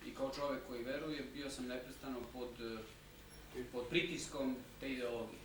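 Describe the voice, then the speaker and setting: Man interpreting in a booming echoey hall. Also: fairly even intonation, moderate pace. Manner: summarizing, loose paraphrase. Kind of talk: consecutive interpreting at a conference. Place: conference hall. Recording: very echoey hall, distant mic, audible hiss.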